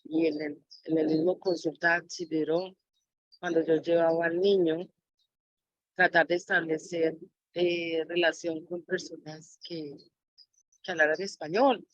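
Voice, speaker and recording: A woman's voice: low-pitched, level tone, measured pace, dry recording. Woman giving reflective interview answers, slightly dull audio. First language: Spanish. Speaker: interviewee, mother sharing her experience.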